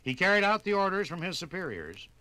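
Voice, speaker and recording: Animated, bright-voiced American radio narrator, male. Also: high-pitched, brisk, no room sound, rough recording. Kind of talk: 1950s radio scripted narration. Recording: 1950s radio LP with music.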